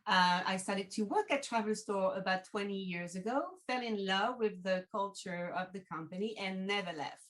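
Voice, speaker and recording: A woman's voice: mid-range voice, normal speed, few pauses, fairly bright tone. French-accented woman presenting a travel podcast. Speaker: podcast host, travel company team manager. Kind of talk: prepared video podcast presentation.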